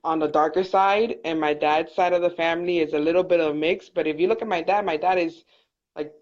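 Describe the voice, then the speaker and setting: A woman's voice: on the low side, fairly even intonation, fairly quick, lo-fi sound. A woman from Miami calling in to a talk show, hesitant and searching for her words. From Miami, United States. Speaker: caller. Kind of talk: casual phone interview.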